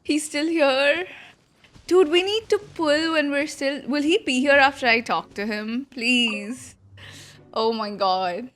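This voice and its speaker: High-voiced, unhurried young woman, emotional livestreamer. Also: fairly bright tone. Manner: emotional, gushing, informal. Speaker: streamer reacting to a game. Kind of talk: casual livestream reaction chat. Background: faint game music.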